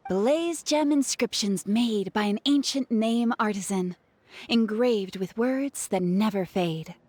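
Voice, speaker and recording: A woman's voice: mid-range voice, takes it slowly, darkish tone, no echo. A woman playing an upbeat, grateful young woman with a salesy flair in a video game. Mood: persuasive.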